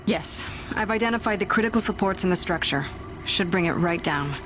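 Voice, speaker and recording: Actress as low-voiced, blunt colonist fighter woman. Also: brisk, noisy background, close to an everyday voice. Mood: dry, blunt. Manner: terse, slightly formal. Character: guarded, blunt young female colonist fighter. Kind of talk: game cutscene acting. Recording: loud music behind the voice.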